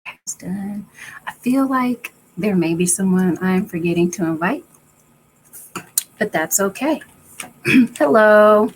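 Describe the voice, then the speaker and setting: A woman with a raspy voice co-hosting a casual live spiritual chat, relaxed and chatty. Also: mid-range voice, slow pace, pauses often, slight background noise, poor audio. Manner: relaxed, chatty, often laughing.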